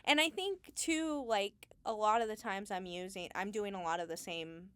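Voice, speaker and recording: A woman's voice: medium pitch, moderate pace, a bit bright, clean tone, dry recording. A woman talking casually and informally as the guest in an interview about her craft. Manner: giggly, informal. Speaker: Foley artist, interview guest.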